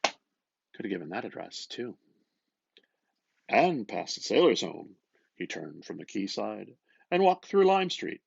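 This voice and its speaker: A man giving an expressive, theatrical reading of literary prose, lingering over his phrases in a slightly muffled recording. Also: lowish voice, measured pace, vocal fry, pauses often, slightly rough, no room sound. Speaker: reader, artist. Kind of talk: dramatic literary reading aloud.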